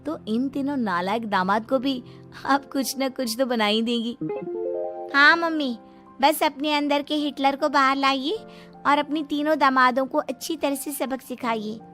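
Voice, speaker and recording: A woman voicing young married daughters who grumble in a whiny, animated way about lazy husbands, in a cartoon story. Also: high voice, unhurried, soft background music. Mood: eager, teasing.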